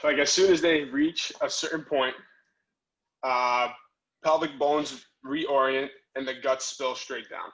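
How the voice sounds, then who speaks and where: A man's voice: mid-range voice, fairly even intonation, takes it slowly, fairly bright tone, low-quality recording. A man taking part in a casual group call, giving short, tentative answers to a coach.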